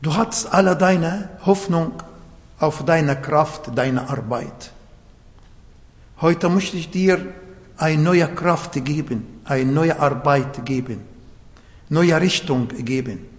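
A man preaching a sermon in German, which is not his first language, slowly and emphatically, with small grammar slips. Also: on the high side. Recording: long hall reverb.

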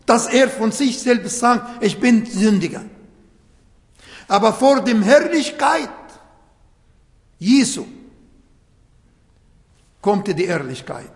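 A man preaching a sermon in German, which is not his first language, slowly and emphatically, with small grammar slips. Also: sits high, sing-song. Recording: long hall reverb.

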